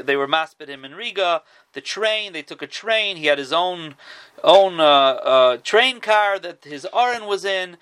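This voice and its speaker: A man's voice: high voice, a bit bright, clear, resonant tone. A man telling a rabbinic story in casual speech, often restarting and repeating his words. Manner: restarts and repeats words, Yiddish and Hebrew terms. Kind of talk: casual spoken Torah storytelling.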